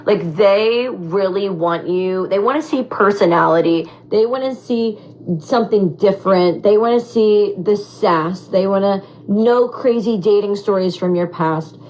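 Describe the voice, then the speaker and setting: A raspy young woman answering fan questions in a casual, animated chat, full of "like" and "um". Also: medium pitch, unhurried, slight background noise, rough recording. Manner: animated, chatty, heavy on fillers like "like" and "um". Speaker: former reality show contestant.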